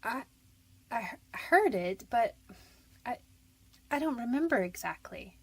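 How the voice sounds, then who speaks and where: A woman's voice: on the high side, animated, a little slow, slightly rough, full character voice. An actress playing a frightened but defiant young convicted woman in a radio detective drama, defensive, pleading and slangy. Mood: straining to remember.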